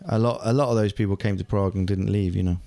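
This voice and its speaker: A man's voice: lowish voice, fairly even intonation, fast talker, mellow. A man in his forties, an actor from England whose first language is German, chatting casually as an interview guest with many fillers and restarts. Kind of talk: casual conversation.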